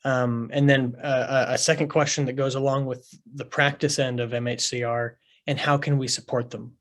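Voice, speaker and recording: A man presents a research study on a panel, speaking over what sounds like a video call. His voice sits at a medium pitch. He repeats words and leans on ums as he gathers his thoughts. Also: little pitch movement, normal speed, fairly bright tone.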